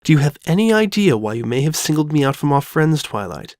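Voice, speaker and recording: An elegant, genteel mare acted out by a man speaks with refined, theatrical poise. The pitch sits fairly high and swings expressively through formal, tender-hearted phrasing. It is a cartoon-pony drama, recorded close and dry. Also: sing-song, a little fast, a little mellow, no room sound. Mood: composed, concerned. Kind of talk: dramatic fan fiction reading.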